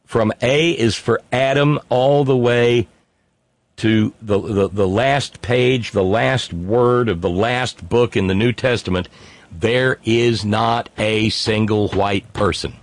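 Man, very low voice, dry close sound, wry, rambling, reads chat live. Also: slow pace, no room sound. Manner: rambling, wry, lots of fillers and restarts. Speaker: livestream host reading chat. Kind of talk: casual unscripted monologue.

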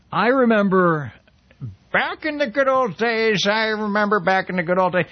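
Brief: middle-aged man hosting talk radio, lively pitch, conversational with restarts, dull dry sound. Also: no room sound. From United States. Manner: conversational, with restarts and quoted readings. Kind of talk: political commentary monologue.

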